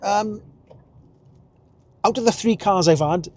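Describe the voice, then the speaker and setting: A man's voice: high-pitched, slow pace, darkish tone. Chatty Welsh man talking while driving. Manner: chatty, rambling, chuckles at himself. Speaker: vlogger. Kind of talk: casual driving vlog. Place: inside a car while driving.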